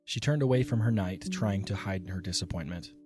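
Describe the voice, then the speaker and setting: A man giving scripted narration for a fan audio drama, in a measured, even storytelling manner. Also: sits low, faint music behind.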